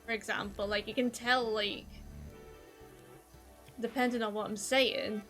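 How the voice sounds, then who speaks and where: Geordie woman, streamer, loose rambling chat, fairly high voice, faint game music behind. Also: fairly even intonation, a little slow, fairly bright tone. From North East England. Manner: rambling, many "like" fillers, self-deprecating. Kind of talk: casual chat while gaming, reading chat.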